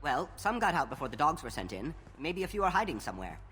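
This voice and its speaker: An actor playing a frightened, grateful construction worker in a video game. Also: male voice, a little high, very animated, fast talker, fairly bright tone, long reverb tail, a little hiss or hum. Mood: uneasy.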